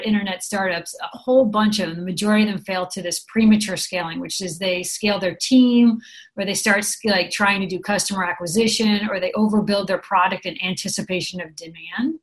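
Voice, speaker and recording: A middle-aged woman with an American accent chats through an interview, upbeat and explanatory. Her voice sits at an everyday middle pitch, unhurried and friendly in tone. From San Francisco, USA. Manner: chatty, upbeat, explains with examples. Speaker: guest, program director. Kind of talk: conversational interview answers.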